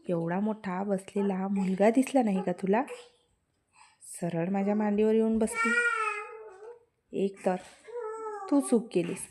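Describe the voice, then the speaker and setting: A woman storyteller voicing an annoyed young male college student who talks back loudly and mockingly, in a slow, even read. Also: medium pitch, background noise, close to an everyday voice. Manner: indignant, mocking, raised voice.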